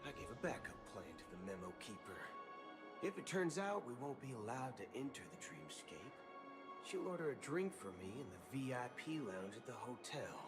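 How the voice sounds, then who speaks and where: An actor voicing a swaggering, wary space cowboy outlaw in a video game, with a theatrical, colloquial drawl. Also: male voice, medium pitch, very animated, normal speed, natural voice. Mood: confident, sly. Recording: loud game music under voice.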